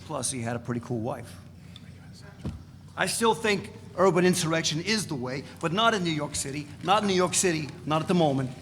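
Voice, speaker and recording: A man's voice: a little high, animated, brisk, fairly bright tone, a little hiss or hum. An actor reading the part of a brash male radical who rants profanely about revolution, recorded live in a reverberant hall. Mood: fervent. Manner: profane, fervent ranting. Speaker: reader in a staged reading.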